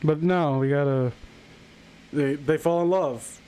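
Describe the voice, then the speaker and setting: A man's voice: on the high side, a little slow, dark tone, clear, resonant tone, poor audio. A man hosting a live stream, chatting casually and bluntly about films, rambling and swearing as he gives opinions.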